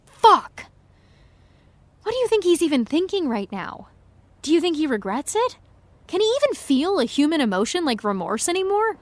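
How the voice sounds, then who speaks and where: A woman's voice: high-pitched, sing-song, moderate pace, pauses often, no room sound. A woman playing a flustered, indignant young actress with an emphatic, exasperated manner, in a naturalistic audio comedy-drama. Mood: dismayed.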